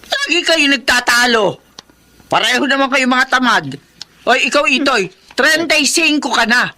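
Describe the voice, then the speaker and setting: An exasperated Filipina mother of grown children. She nags bluntly in a bright, piercing voice that rises and falls sharply. It is radio drama acting with a Filipino accent. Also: high voice, lively intonation, moderate pace. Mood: scolding. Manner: nagging, blunt.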